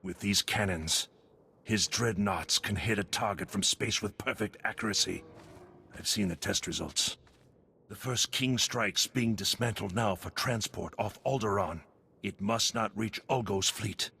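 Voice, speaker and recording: An actor playing an urgent, secretive undercover agent in a video game, giving precise briefings in a gravelly voice. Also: male voice, on the low side, measured pace, pushed and bright, a little hiss or hum, heavily acted. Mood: urgent, guarded. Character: male Republic intelligence agent undercover, urgent, secretive, earnest.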